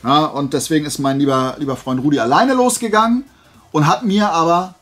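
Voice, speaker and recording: Male haul vlogger, slightly high voice, chatty and digressive, casual delivery, music underneath. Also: brisk, fairly bright tone. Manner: chatty, digressive, self-correcting. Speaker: flea market haul video host. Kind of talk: rambling casual chat to viewers.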